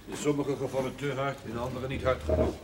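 A heavily noisy old television soundtrack, with the voice off from the mic, carries a man acting a village doctor. He is calm and careful, soothing in short repeated phrases at a middling pitch. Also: brisk, recorded from a distance, close to an everyday voice. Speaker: actor in a television drama series. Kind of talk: naturalistic television drama acting.

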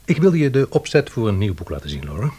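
An actor playing a bumbling, earnest male scholar in a Dutch radio drama, husky, hesitant, wordy and apologetic. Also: lowish voice, very animated, fast talker, mellow. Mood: hesitant, persuading.

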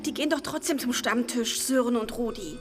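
An actress playing a fretful, needy wife in a radio crime drama, anxious and confiding. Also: female voice, a little high, fairly quick, music in the background, natural voice.

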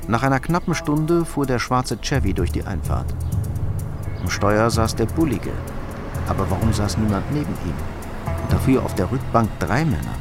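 A man's voice: on the low side, very animated, moderate pace. A man narrating a scripted crime story as the narrator of a radio drama. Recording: loud music behind the voice.

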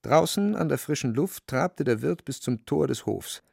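A man's voice: mid-range voice, lively intonation, moderate pace, vocal fry, darkish tone, slightly rough, dry recording, clean studio sound. A man delivering scripted literary narration for a radio drama.

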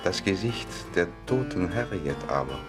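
A low male voice narrates a radio play over loud music. He is a composed, wry announcer, measured and ceremonious, as if presenting a stage scene. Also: expressive.

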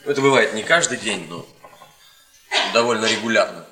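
An actor playing a restrained, self-regarding young academic husband in a radio play, with a stiff, polite, measured manner. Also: male voice, fairly low pitch, expressive, close to an everyday voice. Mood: confiding. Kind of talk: theatrical radio play acting. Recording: echoey room radio theatre recording.

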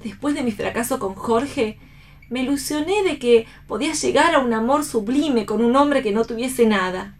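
A woman's voice: fairly high pitch, normal speed, natural voice. An Argentine woman playing a romantic, wistful actress in love in a radio theatre reading. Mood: disappointed.

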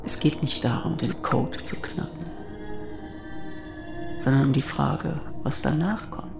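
An actor playing a wounded, reflective mathematician in a German radio drama, speaking with deliberate phrasing and candid irony. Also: male voice, medium pitch, vocal fry. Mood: brooding, dreamlike. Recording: music under the voice.

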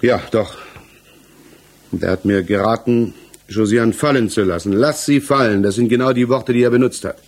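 Guarded village guy being questioned, low voice, plain defensive answers, old german radio play. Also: moderate pace. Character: guarded, evasive village man under interrogation.

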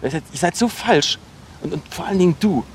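An actor playing a bitter, obsessive ex-boyfriend in a naturalistic radio drama, speaking insistently in halting, repetitive bursts. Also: male voice, high voice, expressive, a little hiss or hum. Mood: scornful, agitated. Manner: insistent, accusatory, halting with repeated words.

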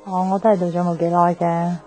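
A woman's voice: fairly low pitch, flat delivery, a little fast, mellow, lightly acted. An actress playing a restless young woman in a radio drama, talking in an insistent, explaining way. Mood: casual, hesitant. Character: restless young woman friend craving a new life. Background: faint background music.

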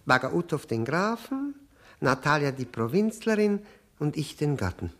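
An actor playing an odd, self-abasing middle-aged husband in a 1960s German radio drama, ingratiating and halting, repeating his phrases. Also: mid-range voice, lightly acted.

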